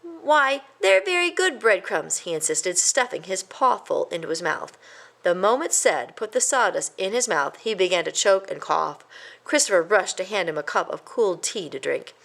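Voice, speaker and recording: A woman narrating a children's storybook in an expressive reading style. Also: lowish voice, moderate pace, creaky. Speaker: storybook reader.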